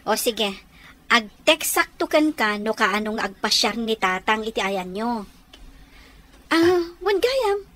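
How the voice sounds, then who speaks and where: An actress with an Ilocano accent playing a helpful, chatty older sister in a radio comedy drama. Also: female voice, a little high, sing-song, slow, fairly bright tone, exaggerated voice.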